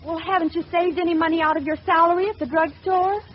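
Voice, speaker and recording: A woman's voice: very high voice, steady tone, fast talker. An actress playing a sweet, earnest young girlfriend in a 1940s radio comedy. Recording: dull old radio recording, faint noise.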